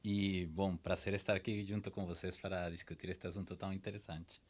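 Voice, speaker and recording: A man, a guest on a tech panel, explaining calmly with small hesitations. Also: low voice, brisk. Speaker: guest expert. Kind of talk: casual panel discussion.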